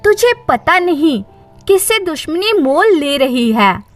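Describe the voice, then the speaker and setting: Woman acting haughty, shrill older mother-in-law. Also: sing-song, takes it slowly, faint music behind, heavily acted. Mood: outraged, threatening. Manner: scolding, contemptuous.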